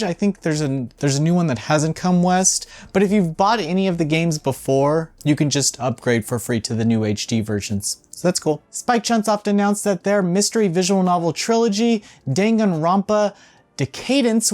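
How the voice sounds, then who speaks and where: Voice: medium pitch, expressive, normal speed, darkish tone. A video game news host running through releases in a casual style, with wry, offhand asides. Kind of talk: casual news rundown with off-the-cuff commentary.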